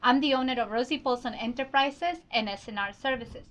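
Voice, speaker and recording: A woman's voice: fairly high pitch, fairly quick, fairly bright tone. A woman hosting a casual interview show, friendly and chatty. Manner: friendly, chatty, laughs often. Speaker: show host. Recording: slightly dull lo-fi sound.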